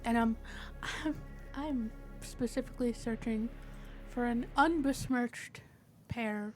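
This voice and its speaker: Woman tabletop gamer, higher-pitched, casual in-character chat with theatrical, sweary phrasing, clear music underneath. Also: slow, darkish tone. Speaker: tabletop game player. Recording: background music.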